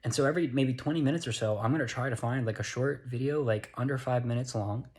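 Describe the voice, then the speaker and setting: Tired young american guy rambling into his phone, keeps correcting himself, mid pitch, casual vlog talk. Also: fast talker, a little mellow. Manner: rambling, self-correcting, earnest. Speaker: vlogger. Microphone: handheld phone.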